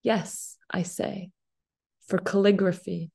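A woman in her thirties, born in Afghanistan with Farsi as her first language, reads poetry in a measured, deliberate way over a video call from a small office. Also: on the low side, flat delivery, gravelly, warm tone, husky. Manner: measured, deliberate recitation with long pauses. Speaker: poet. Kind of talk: slow poetry reading. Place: small office room indoors. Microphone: laptop webcam video call.

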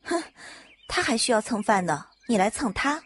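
A woman's voice: fairly high pitch, sing-song, moderate pace, slightly rough. A woman voicing a dry, skeptical young female forensic doctor with curt replies in an audio drama. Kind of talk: multi-cast web audio drama.

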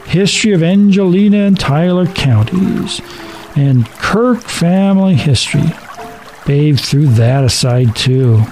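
A man's voice: fairly high pitch, warm tone, rough recording. A man reading a scripted story as a dramatic narrator.

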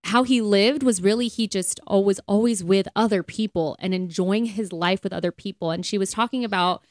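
A woman's voice: medium pitch, brisk, no echo, studio quality. A woman co-hosting a podcast, talking in an earnest, chatty way in casual conversation about faith. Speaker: podcast co-host.

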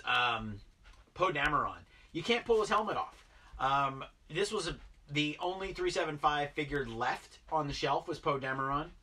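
Man in his 30s, toy-collector vlogger, rambling chat, husky edge, a bit off-mic. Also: fairly high pitch, sharp tone, recorded from a distance. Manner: rambling, filler-heavy, enthusiastic about toys. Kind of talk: casual unboxing chat. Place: basement toy room, indoors.

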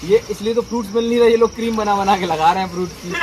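A man's voice: high voice, very fast, background noise. A young man hosting a casual vlog at a night pool party, joking with friends and laughing often. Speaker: vlogger.

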